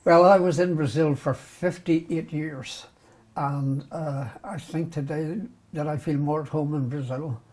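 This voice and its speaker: A man's voice: fairly high pitch, fairly even intonation, a little slow, a little mellow. An older man with a Belfast accent, looking back on his life in an interview, self-deprecating and often breaking into chuckles. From Northern Ireland. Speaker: interviewee, retired doctor. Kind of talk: reminiscing interview.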